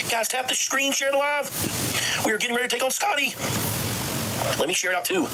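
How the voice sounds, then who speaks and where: A man with a voice that sounds like Kermit the Frog, hosting a phone livestream and rattling off shoutouts to viewers. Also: very high voice, very noisy. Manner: rapid shoutouts, urging viewers. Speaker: livestream host. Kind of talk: livestream hype.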